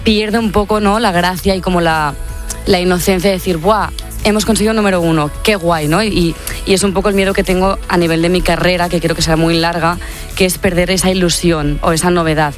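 A woman's voice: lowish voice, fairly even intonation, fairly quick, soft background music. A young woman from Catalonia, a singer chatting as a radio guest, upbeat and talkative with many fillers. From Catalonia, Spain. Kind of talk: casual radio interview.

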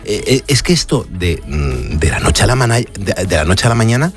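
Enthusiastic low-voiced male radio host. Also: sing-song, a little fast, faint music behind. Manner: enthusiastic, repeats short exclamations. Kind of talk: casual radio interview.

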